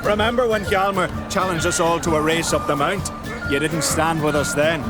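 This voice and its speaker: An actor playing a brash, boastful young warrior and jarl's son in a game, mocking and blunt. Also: male voice, on the high side, steady tone, normal speed, close to an everyday voice. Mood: taunting. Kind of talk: video game cutscene acting. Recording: loud music behind the voice.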